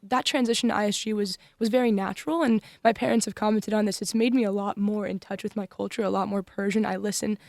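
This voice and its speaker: Young woman from norcal, student, earnest, keeps restarting sentences, average pitch, dry close sound. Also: steady tone, fast talker, gravelly, hardly any pauses, darkish tone, dry recording. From Northern California. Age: young adult. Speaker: student club member. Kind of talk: conversational discussion.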